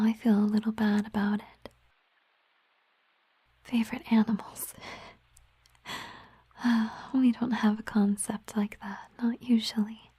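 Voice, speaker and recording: A woman plays a gentle siren girlfriend in an intimate ASMR roleplay. Her voice is a breathy, mellow whisper, slow and softly musing. It is recorded close and dry. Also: a little high, no room sound, exaggerated voice. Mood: tender, musing. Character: gentle, affectionate female siren, a mermaid girlfriend. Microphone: close microphone, dry sound.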